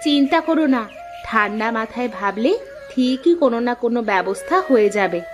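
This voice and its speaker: Woman playing practical village wife and mother, cartoon, slightly high voice, calm, reassuring, light string music behind. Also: a little fast, lightly acted. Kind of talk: cartoon voice acting. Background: plucked mandolin music.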